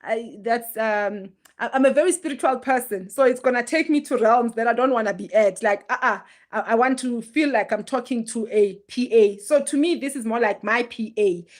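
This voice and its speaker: A woman's voice: a little high, normal speed, hardly any pauses. A woman, hosting a casual livestream and talking straight to her viewers in an emphatic, chatty way. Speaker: livestream host.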